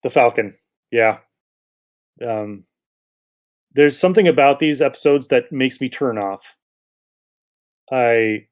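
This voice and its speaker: A man's voice: mid-range voice, darkish tone. A man hosting a podcast in casual chat, rambling a little as he thinks aloud. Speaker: podcast host.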